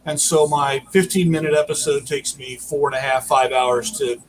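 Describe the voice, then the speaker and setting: A man explaining his work as an audio drama producer in a casual, halting way during an interview over a video call. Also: mid-range voice, flat delivery, poor audio. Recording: noticeable background noise, video call.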